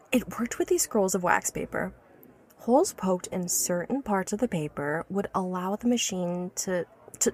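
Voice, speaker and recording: A woman's voice: lowish voice, slightly rough. A woman playing an obsessive, learned inventor who recounts her life's work earnestly and articulately in an audio drama. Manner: articulate, earnest, stammers when awed by her subject.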